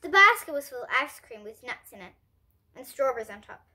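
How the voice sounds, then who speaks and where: A young girl with a high, bright voice reads a storybook aloud. Her delivery is expressive and deliberate, swinging widely in pitch and pausing for drama as she hosts the reading. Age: child. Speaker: young host reading children's books aloud.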